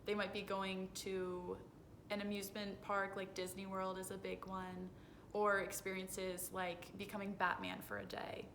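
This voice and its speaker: Female, twenties, mid-range voice. Earnest spokesperson giving prepared interview answers, slight background rumble on phone audio. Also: a bit bright. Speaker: interviewee, foundation communications director. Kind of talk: rehearsed on-camera interview answers. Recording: phone video with noisy low rumble.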